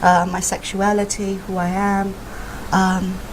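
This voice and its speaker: A woman psychotherapist, raised in Malaysia, speaks as a guest in an even, measured tone. She talks frankly and plainly, with a mid-range voice. There is a faint hiss in the background. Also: medium pitch, low-quality recording. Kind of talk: interview guest, explaining her work.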